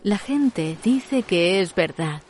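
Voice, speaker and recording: A woman narrating a literary tale as a storyteller, in a measured, dramatic scripted delivery. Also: mid-range voice, animated, music in the background.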